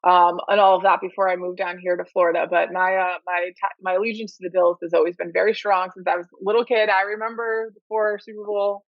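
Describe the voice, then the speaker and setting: A man from upstate New York co-hosting a casual podcast, chatty and quick to laugh. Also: sits high, little pitch movement, no echo, dull audio. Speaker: podcast co-host.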